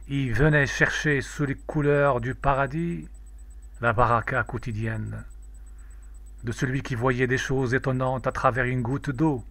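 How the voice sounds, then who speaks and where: A man reciting a poem in a measured, declamatory, solemn way. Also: medium pitch, fairly bright tone, no room sound. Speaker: poetry reader.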